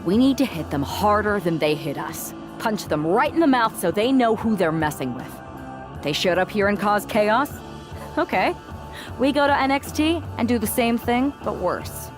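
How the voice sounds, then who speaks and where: Brash female wrestler for a game cutscene, played by an actress: punchy, confrontational, lively swings in pitch, music underneath. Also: moderate pace, close to an everyday voice. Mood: combative. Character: bold, brash woman wrestler with combative temper. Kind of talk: video game cutscene acting.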